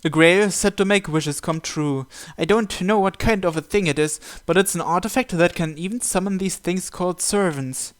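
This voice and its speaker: A man's voice: high voice, moderate pace, few pauses. A man hosting a visual novel playthrough, reading the first-person narration aloud.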